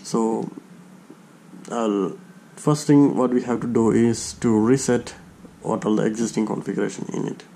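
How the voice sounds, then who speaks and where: Flat, mellow man giving halting instructions. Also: lowish voice, vocal fry, slight background noise. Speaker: tutorial presenter. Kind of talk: step-by-step hands-on demonstration.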